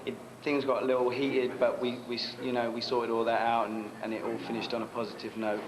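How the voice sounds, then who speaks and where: A man interviewing at a dance event, talking in a relaxed way with lots of fillers. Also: medium pitch, fairly quick. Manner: relaxed, filler-heavy with lots of you know. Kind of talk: casual event interview and commentary. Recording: echoey room with clear noise.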